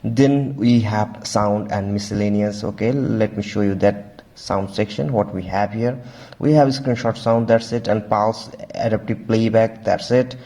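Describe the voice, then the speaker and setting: A man giving a casual, halting walkthrough as a tech reviewer, often repeating stock phrases. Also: fairly low pitch, mellow. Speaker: tech reviewer, video host.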